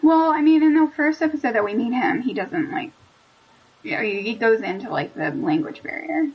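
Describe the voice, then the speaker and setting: Animated young woman podcast co-host. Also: fairly high pitch, moderate pace, slightly rough, recorded from a distance. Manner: animated, lots of "like" fillers. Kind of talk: casual chat. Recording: lo-fi, dull sound.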